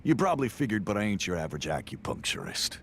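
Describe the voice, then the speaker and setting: Cocky, gravelly acupuncturist. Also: male voice, fairly low pitch, wildly swooping pitch, fast, fairly bright tone, slight background noise, heavily acted. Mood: teasing. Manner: drawling, taunting. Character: cocky male acupuncturist, teasing mahjong player.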